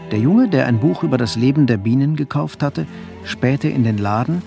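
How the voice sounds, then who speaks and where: A man's voice: medium pitch, lively intonation, normal speed, vocal fry, warm tone, faint music behind. A man narrating a literary crime story as the grave narrator of a radio drama. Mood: grave, measured.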